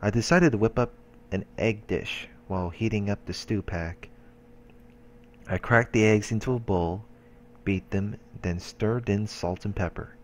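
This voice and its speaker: A man's voice: lowish voice, unhurried. A man hosting a let's play, reading game narration aloud with occasional casual asides.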